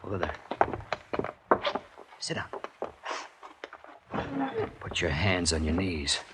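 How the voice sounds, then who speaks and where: A man's voice: low-pitched, fairly even intonation, slow pace. An American man acting a desperate, jealous, volatile husband with a gun in a 1950s radio drama, threatening and suspicious in manner. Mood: cold menace. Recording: noisy old radio recording.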